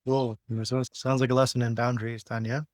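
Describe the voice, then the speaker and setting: A man with a mid-range voice guides a healing session in a close, dry recording. He phrases things haltingly, affirms often and checks back with questions. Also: medium pitch, flat delivery, a little mellow, no room sound. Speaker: healing practitioner and host.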